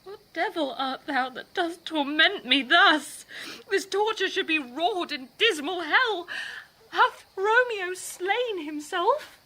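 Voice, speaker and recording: A young bride in a Shakespearean radio drama. Her voice is high and bright, and she takes her time over the verse. The delivery is theatrical and full of passion. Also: animated, slow, heavily acted. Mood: anguished, horrified.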